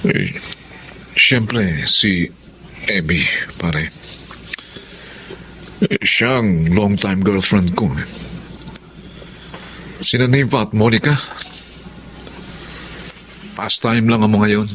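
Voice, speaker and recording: A Filipino actor playing a smug, vain young philanderer with a breezy, self-justifying manner in a radio drama. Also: male voice, on the low side, drawn-out words, pauses often, fairly bright tone, a little hiss or hum. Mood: confiding, sheepish.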